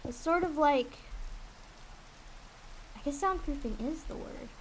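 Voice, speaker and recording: Young female game streamer, higher-pitched, candid rambling chat, some background noise. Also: slow. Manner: candid, rambling, swears casually. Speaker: streamer and aspiring voice actress. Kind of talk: casual livestream chat while gaming. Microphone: USB desk condenser mic.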